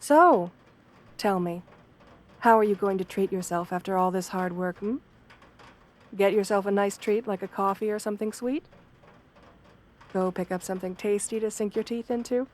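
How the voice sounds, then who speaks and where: A woman playing a kind, professional wolf girl therapist in an ASMR roleplay, soft-spoken, gentle and measured in her reassurance. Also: medium pitch, steady tone, lightly acted. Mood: caring, reassuring.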